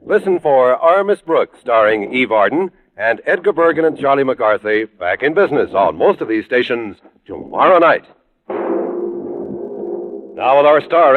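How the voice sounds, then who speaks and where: A man's voice: medium pitch, very animated, normal speed. A man announcing in mid-century network radio style, formal, polished and projected, reading a script. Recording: muffled, band-limited old radio recording.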